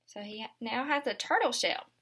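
A woman in her twenties reads a children's picture book aloud like a kindergarten teacher. Her voice is high, with big swings in intonation. She explains things simply and expressively for little listeners. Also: lively intonation, moderate pace, sharp tone. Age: 20s. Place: small furnished room indoors.

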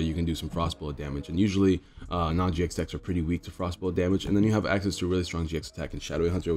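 A man's voice: low-pitched, a little mellow. A young man with a stuffed-up nose casually explaining his card deck to viewers, rambling and talking to them as guys. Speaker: card game streamer. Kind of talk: casual deck tech commentary.

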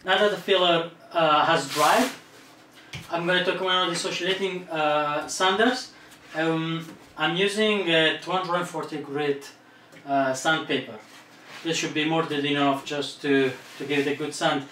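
A man's voice: on the high side, steady tone, unhurried, bright, forceful tone. A man in his thirties talks through a DIY build step by step, explaining plainly as he works. He is recorded indoors at home by a camera mic some distance away. The room is echoey and there is faint background noise. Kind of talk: DIY tutorial narration. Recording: roomy echo, voice off-mic.

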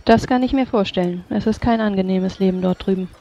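A tabletop roleplayer speaking formally and in a measured way, switching between in-character speech and narration. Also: mid-range voice, mellow, muffled recording, a little hiss or hum. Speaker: player or game master voicing characters in a tabletop game.

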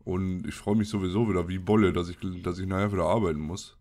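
A man's voice: low voice, fairly even intonation, fast talker, darkish tone. A man with a cold and a scratchy throat, giving casual, colloquial gameplay commentary as he mutters to himself. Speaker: let's play host.

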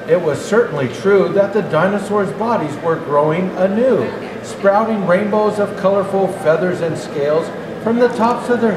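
Older man, Santa storyteller, expressive verse reading, roomy echo, clear background noise. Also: high-pitched. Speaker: Santa Claus storybook reader. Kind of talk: children's storybook reading aloud. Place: indoor natural history museum hall. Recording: echoey hall, clearly noisy background.

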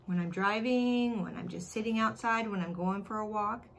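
A woman's voice: medium pitch, moderate pace. A middle-aged American woman, a kindergarten teacher, talking expressively and simply to young children from her living room. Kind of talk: read-aloud and chat to young children.

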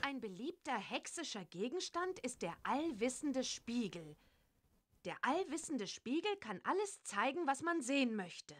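A woman voicing a curious young witch girl in a children's cartoon adventure game, with clear, expressive storytelling delivery. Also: sits high, a little slow, full character voice. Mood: instructive.

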